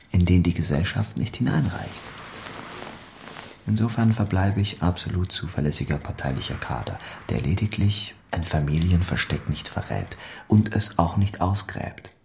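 An actor playing a loyal, guarded East German communist cadre in an audio drama monologue, deliberate and confiding, often sinking toward a whisper. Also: male voice, low voice, expressive, vocal fry, a little mellow, a little hiss or hum. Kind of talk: literary monologue in an audio play.

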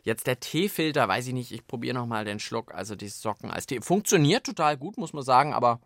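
A man's voice: medium pitch, animated, a little fast, a bit bright, no room sound. A man chatting casually as co-host of a children's science podcast.

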